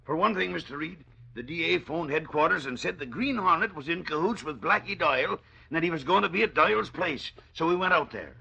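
An actor playing a self-assured police sergeant in a vintage radio drama, hearty and matter-of-fact. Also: male voice, fairly high pitch, expressive, brisk, creaky, few pauses, pushed and bright, husky, heavily acted. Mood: reporting, satisfied. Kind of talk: 1940s radio drama acting. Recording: dull, band-limited old radio recording.

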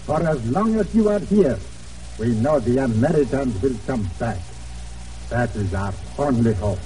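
An actor playing a devoted, worried islander who pleads earnestly in halting, in a 1940s radio drama. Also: male voice, medium pitch, animated, close to an everyday voice. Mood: fervent. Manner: earnest, halting, simple broken English. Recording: muffled, distant old radio recording.

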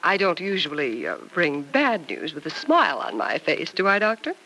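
An actress playing a warm, playful nurse and confidante in a vintage radio serial. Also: female voice, lowish voice, very animated, moderate pace, slight background noise, full character voice, poor audio. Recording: old radio recording.

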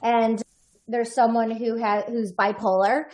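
A woman's voice: a little high, little pitch movement, unhurried, darkish tone. A woman voiceover artist, a podcast guest, talking in a reflective, rambling way with many restarts. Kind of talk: casual conversational interview.

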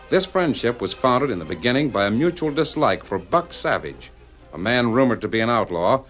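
An actor serving as the storytelling narrator of an old American radio Western, in scripted narration. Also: male voice, fairly low pitch, a bit bright, low-quality recording.

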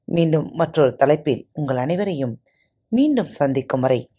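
Give me a woman narrating a moral tale with a dark, mellow tone and lively pitch. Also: normal speed, slightly rough. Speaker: podcast host and storyteller.

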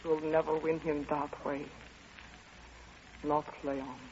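A woman's voice: deep voice, flat delivery, slow, a little mellow. A woman playing an earnest, stern Soviet envoy in an old-time radio drama, on a muffled, noisy recording. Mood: resigned.

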